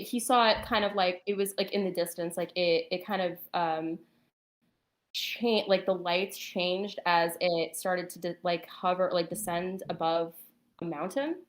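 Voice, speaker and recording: Woman, slightly low voice, casual storytelling, hesitant delivery, guest on a talk stream. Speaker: guest telling personal story.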